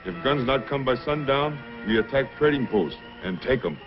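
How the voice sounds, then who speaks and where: A man's voice: mid-range voice, animated, normal speed, music in the background, lightly acted. Man acting a menacing chief, dull old radio. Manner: clipped broken English, threatening. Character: menacing Indian chief threatening attack.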